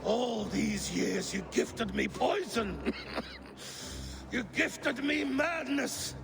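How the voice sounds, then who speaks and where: An actor playing an old, sick, paranoid Viking king who shouts accusations with furious contempt in a game cutscene. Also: extremely high pitch, drawn-out words, gravelly, background noise, full character voice. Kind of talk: video game cutscene acting.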